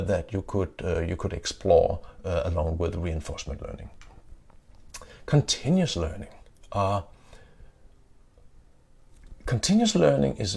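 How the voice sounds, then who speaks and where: Middle-aged Danish man, deep gravelly voice, conference speaker with loose filler-heavy delivery. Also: darkish tone. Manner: adds "yeah?" to check in with listeners; frequent "uh" fillers and restarts. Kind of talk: tech conference talk. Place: conference stage.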